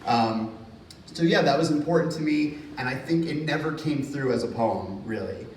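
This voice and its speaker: A man from New Jersey, an author at a university reading, telling lively anecdotes and acting out quotes with bursts of laughter. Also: on the high side, normal speed, a little hiss or hum. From Hudson County, New Jersey. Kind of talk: casual storytelling in a Q&A. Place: university reading event hall. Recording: roomy, distant, faint ambience.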